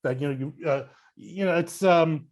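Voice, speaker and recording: A man speaking as a guest in a casual panel discussion, halting and emphatic as he circles toward his point. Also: on the high side. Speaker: podcast guest, critic and editor.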